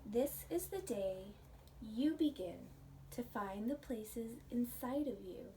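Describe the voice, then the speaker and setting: A woman reading a picture book aloud to children and chatting about it in a gentle, expressive, questioning way. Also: fairly high pitch, slow pace. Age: adult. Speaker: children's storybook reader, teacher. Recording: distant mic with faint background noise.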